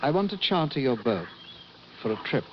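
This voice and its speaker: An actor playing a smooth, coolly polite enemy agent with a gun, in 1940s radio acting. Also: male voice, mid-range voice, very animated, normal speed, close to an everyday voice. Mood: suave. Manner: suave, coolly polite and menacing. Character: smooth armed enemy agent posing as intelligence officer. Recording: dull old radio recording, faint hiss.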